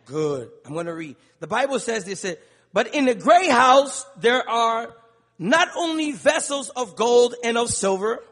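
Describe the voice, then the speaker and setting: High-voiced preacher in an echoing church. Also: male voice. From Liberia. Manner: rhythmic call-and-response preaching, building to shouts. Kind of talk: sermon. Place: large church sanctuary. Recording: long church reverb.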